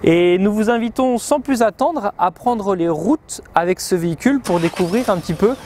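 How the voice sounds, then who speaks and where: A man, presenting a vehicle review in a measured, explanatory way into a lapel mic. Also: sits high, lively intonation, a little mellow, slight background noise. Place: outdoors by a river and inside a motorhome.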